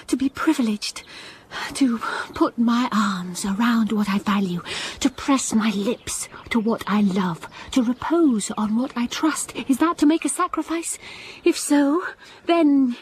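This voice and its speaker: Young governess, earnest and steadfast, hushed and very slow, faint room hum. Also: female voice, fairly high pitch. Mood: ardent. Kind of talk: radio drama acting.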